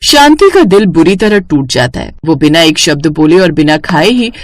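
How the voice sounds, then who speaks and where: A woman's voice: deep voice, very animated, normal speed, no echo, studio quality. A woman narrating a scripted moral family story.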